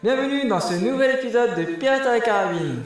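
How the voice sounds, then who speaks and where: A man playing a deadpan, mischievous, mocking narrator in a scripted comedy audio drama, with a very echoey sound. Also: very high-pitched, fairly quick. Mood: mock-grand, deadpan. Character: sarcastic, mischievous male narrator who needles characters. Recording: very echoey, faint music behind.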